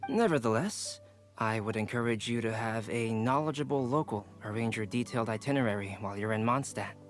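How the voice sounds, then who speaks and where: An actor playing a calm, helpful young scholar in a video game, speaking politely in formal, measured phrases. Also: male voice, on the low side, soft background music, lightly acted.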